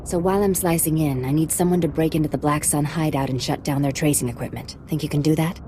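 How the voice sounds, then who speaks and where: Lady doing sly secretive agent woman, deep voice, bit of ambience, video game. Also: steady tone, fast, warm tone, a little hiss or hum, close to an everyday voice. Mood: urgent. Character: shrewd, secretive female undercover agent. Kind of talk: sci-fi video game acting.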